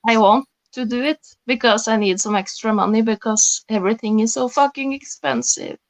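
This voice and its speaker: A woman speaks with an accent that sounds Russian. Her voice sits slightly high. She talks hesitantly, pausing and restarting, in casual panel chat. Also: slight background noise.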